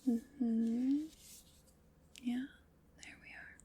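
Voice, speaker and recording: A woman whispering as a calm, polite armor fitter who is taking careful measurements in an ASMR roleplay. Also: on the high side, a little hiss or hum. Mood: gentle, attentive. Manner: soft whispering, slow and attentive.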